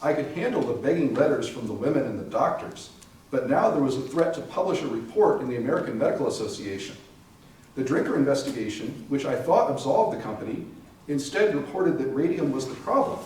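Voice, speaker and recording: A man's voice: medium pitch, lively intonation, a little fast, a little mellow, close to an everyday voice. A man playing a defensive, irritated company man in a readers theater monologue. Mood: irritated, dismissive. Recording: echoey hall, far mic, faint noise.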